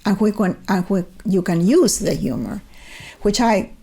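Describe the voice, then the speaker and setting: An older woman from Chile with a Chilean accent, a native Spanish speaker, talking in a measured, thoughtful way as an interview guest on a remote call. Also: mid-range voice, lively intonation, vocal fry, dark tone, breathy edge. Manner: thoughtful, measured storytelling with repeated restarts. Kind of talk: reflective conversational interview. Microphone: remote video call.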